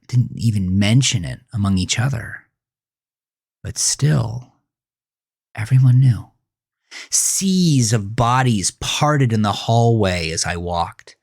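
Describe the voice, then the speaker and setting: An actor playing a haunted, dazed teenage boy, narrating a horror audio drama as a brooding, halting confession. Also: lowish voice, no echo, clean studio sound, exaggerated voice. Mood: dark, transformed.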